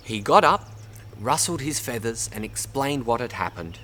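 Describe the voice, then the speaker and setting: An Australian man reads a children's story aloud in an expressive way, addressing young listeners as a storyteller. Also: mid-range voice, normal speed, a little hiss or hum. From Bundaberg, Queensland, Australia. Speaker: storybook reader. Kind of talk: read-aloud children's story, narration with word teaching.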